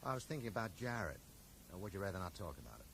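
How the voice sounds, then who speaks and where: A man's voice: low-pitched, very animated, fast talker, creaky, fairly bright tone, exaggerated voice. An actor playing an earnest, polite and persistent man who questions people tactfully in a radio drama. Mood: concerned, curious. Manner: tactful but probing. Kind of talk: 1980s radio drama acting. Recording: noisy 1980s radio broadcast recording.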